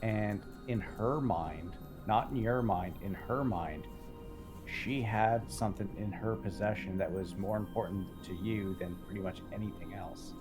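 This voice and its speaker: A man with a lowish voice talks through an improvised roleplay scene. His manner is measured and explanatory, probing like a counselor, and music is clearly audible behind him. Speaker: roleplay game player. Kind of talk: improvised in-character roleplay conversation.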